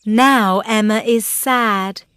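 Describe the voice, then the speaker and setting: A woman narrating a simple children's story in deliberate, carefully spaced phrases. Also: fairly high pitch, a bit bright, clear, resonant tone, no room sound. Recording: birdsong and nature noise behind.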